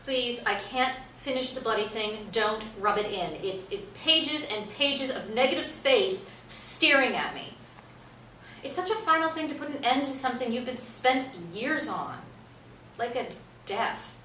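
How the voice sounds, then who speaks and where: A woman's voice: on the high side, expressive, a little slow, sharp tone. An actress in a staged play reading playing an anxious, fussy woman playwright, curt and exasperated. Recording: echoey stage, distant mic, noisy room.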